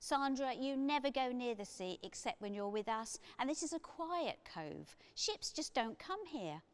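A firm, exasperated young girl in a children's story, voiced by a woman. She is brisk and sensible, pitched somewhat high. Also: sing-song.

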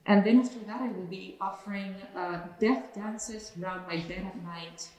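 A woman's voice: mid-range voice, moderate pace, darkish tone. A young woman with a Puerto Rican accent, an opera singer chatting about her work and introducing her pieces. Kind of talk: casual interview talk and song introductions. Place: large wood-panelled hall with organ. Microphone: standing studio mic. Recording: echoey hall with long reverb.

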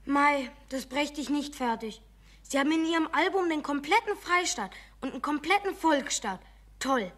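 A woman's voice: high-pitched, expressive, normal speed, a bit bright. A woman playing an eager young boy with a Bavarian accent in a children's radio play. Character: eager young boy, keen stamp collector.

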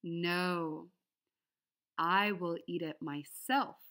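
Woman reading as bright, emphatic little hen. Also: lowish voice, fairly even intonation, extremely slow, heavily acted. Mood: firm. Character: industrious, self-reliant female little red hen.

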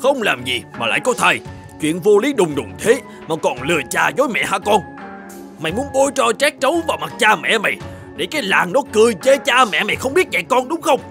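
Hot-tempered cartoon father, high and animated. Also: brisk, gravelly, exaggerated voice. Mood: furious. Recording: music bed under the voice.

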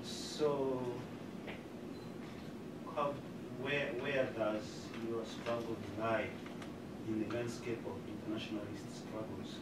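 A man from Uganda in the audience, asking a measured question from the floor. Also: on the low side, flat delivery. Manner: slow, deliberate, measured. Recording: roomy sound from far off, loud hiss.

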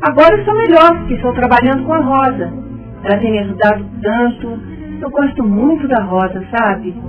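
A woman's voice: fairly high pitch, animated, brisk, distant mic, lightly acted. A woman with a Brazilian accent acting a humble, emotional heroine in an old radio soap. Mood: confiding. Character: Tereza, humble, hard-working woman being courted. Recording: dull old radio recording. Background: music.

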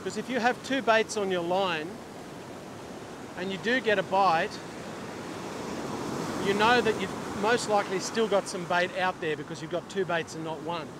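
High-voiced Australian man outdoors by the surf. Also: moderate pace, long pauses. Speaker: fishing instructor and vlogger. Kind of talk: casual instructional talk to camera. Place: open ocean beach, outdoors. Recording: outdoor wind and surf noise. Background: waves, surf and wind.

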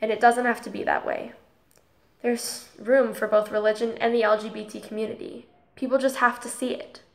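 A woman playing an earnest, principled student girl in a scripted drama, explaining things in a measured, preachy way. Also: a little high, level tone, creaky. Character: earnest, tolerant, principled transgender girl student named Holly. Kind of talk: scripted audio drama dialogue, speech-like monologue.

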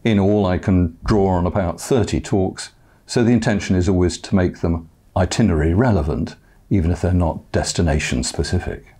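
A man in his sixties introducing his lecture clips to camera, in a measured, amiable way, recorded in an indoor meeting room on a lapel mic. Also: deep voice, warm tone, slightly rough. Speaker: cruise ship lecturer. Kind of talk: promo talk to camera.

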